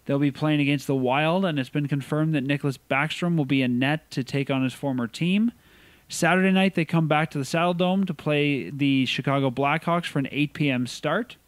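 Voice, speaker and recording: Chatty man, slightly high voice. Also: moderate pace, no echo. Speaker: podcast host. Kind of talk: casual sports podcast chat.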